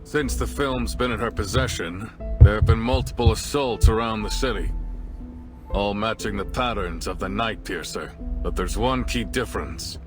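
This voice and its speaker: A man playing a stern, commanding deputy police chief with a formal, measured, authoritative manner. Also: lowish voice, music in the background, close to an everyday voice. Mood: grave, commanding.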